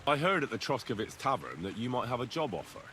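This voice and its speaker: An actor playing a young drifter looking for work, pushy and sarcastic. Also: male voice, mid-range voice, sing-song, fast, bright, forceful tone, slight background noise.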